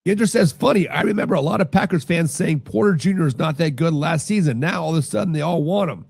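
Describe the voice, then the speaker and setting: A man hosting a casual football talk stream, his voice worn hoarse and gravelly from being sick, rambling and repeating himself with lots of fillers. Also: fairly high pitch, fast talker, darkish tone, no echo, clean studio sound. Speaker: podcast host. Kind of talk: casual sports talk reading live chat.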